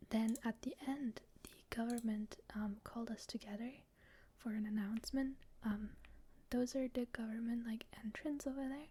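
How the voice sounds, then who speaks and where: A woman whispers her way through a game session, breathy and hushed from start to finish. Her tone is dark and mellow despite a slightly high pitch, and she sounds shy and a bit rambling. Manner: soft, shy and rambling, with frequent laughs. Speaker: gamer.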